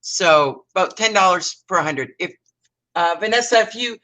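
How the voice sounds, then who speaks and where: A woman's voice: fairly low pitch, lively intonation, normal speed, sharp tone. Chatty Canadian woman demoing crafts. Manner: rambling, self-correcting while working. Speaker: craft live stream host. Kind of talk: casual craft demo chat.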